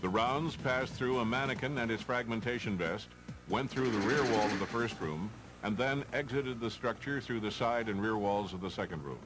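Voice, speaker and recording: A man's voice: on the low side, normal speed, music in the background, rough recording. A man with an American accent reads formal, matter-of-fact instructional narration for a training film.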